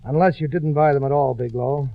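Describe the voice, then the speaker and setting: A man's voice: medium pitch, steady tone, quick pace, no echo, lightly acted. Stern radio-drama interrogator played by a man, pressing and accusatory, on a dull vintage recording. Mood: stern, accusing. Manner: accusing, pointed, insistent. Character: stern, angry tall male investigator. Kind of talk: 1940s radio drama acting. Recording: muffled old radio recording.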